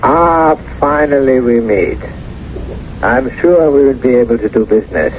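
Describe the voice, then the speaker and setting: A man's voice: medium pitch, expressive, a little slow, close to an everyday voice. An actor playing a suave, genial, wry industrialist, a much-married man, in a 1970s radio drama. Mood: suave, amused. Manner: urbane, wry. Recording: dull, noisy old radio recording.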